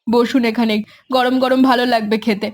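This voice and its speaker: A woman voicing a gentle, hospitable village mother in an animated cartoon. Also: a little high, brisk, natural voice. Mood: welcoming.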